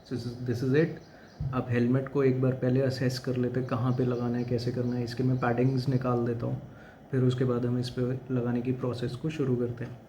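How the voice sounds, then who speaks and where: An Indian man talks viewers through a gadget setup in a casual vlogger style. His voice is mellow and fairly monotone at a middle pitch, and he slips often between two languages. Faint noise sits behind him. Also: brisk. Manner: switches often between Hindi and English. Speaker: motovlogger and product reviewer. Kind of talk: casual product demo and installation walkthrough.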